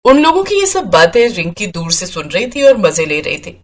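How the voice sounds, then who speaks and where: A woman's voice: on the low side, big pitch swings, normal speed. A woman narrator reading a scripted story in a storytelling style.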